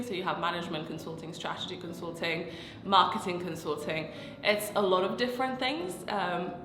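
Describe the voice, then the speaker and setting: A young woman talks in a stuffy, nasal voice, thick with a head cold, at an ordinary middle pitch. Her delivery is chatty and explanatory as she walks through her points one by one. The room rings with a long, roomy echo, and faint music plays underneath. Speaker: consultant and vlogger. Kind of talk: casual explainer talk to camera.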